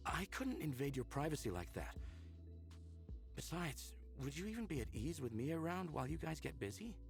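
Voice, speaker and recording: A man voicing an awkward, apologetic young male visual novel character who talks haltingly and with curiosity. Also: fairly high pitch, big pitch swings, creaky. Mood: hesitant, curious. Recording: music behind the voice. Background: background music.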